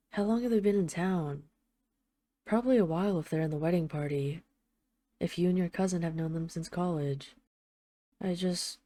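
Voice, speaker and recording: A woman's voice: low voice, warm tone, no room sound, lightly acted. A woman playing a tough but tender, jealous mafia boss, speaking intimately to an absent partner in a scripted audio roleplay voicemail. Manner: intimate, halting, sweary, addressing an absent lover.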